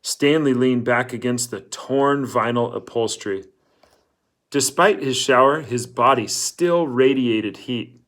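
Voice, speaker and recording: A man's voice: medium pitch, takes it slowly, fairly bright tone. A man reading a novel aloud as scripted storybook narration. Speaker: audiobook-style reader.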